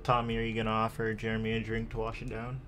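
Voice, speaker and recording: A man improvising second-person narration as the game master of a tabletop roleplaying game, with deliberate, dramatic phrasing. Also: fairly low pitch, completely flat delivery, a bit bright.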